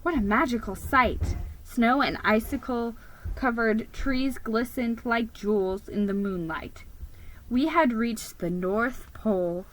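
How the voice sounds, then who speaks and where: A woman giving a theatrical, expressive dramatic reading of a children's story. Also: fairly high pitch, takes it slowly, a little hiss or hum, poor audio.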